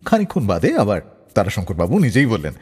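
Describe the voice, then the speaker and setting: A man's voice: on the low side, wildly swooping pitch, fast, a little mellow, breathy edge, long reverb tail. A man hosting a radio show, narrating scripted stories in a dramatic storyteller's way. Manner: dramatic storyteller, acts out quoted dialogue.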